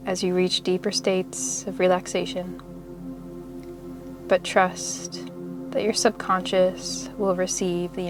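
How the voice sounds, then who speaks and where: Slow, even-toned woman guiding meditation over music. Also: medium pitch. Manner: soothing, unhurried, measured instruction with pauses. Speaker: yoga nidra meditation guide. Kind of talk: guided meditation narration.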